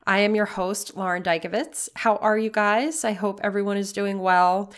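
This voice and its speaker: Podcast host lady, bit raspy from a cold, earnest and encouraging, lots of ums. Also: medium pitch, fairly even intonation, moderate pace. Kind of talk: casual solo podcast talk.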